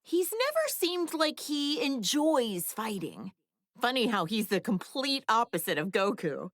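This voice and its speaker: A woman voicing a brainy, candid woman inventor in an animated video game, wry and opinionated. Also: fairly high pitch, measured pace, no echo, clean studio sound, heavily acted. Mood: musing. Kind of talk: animated game character dialogue.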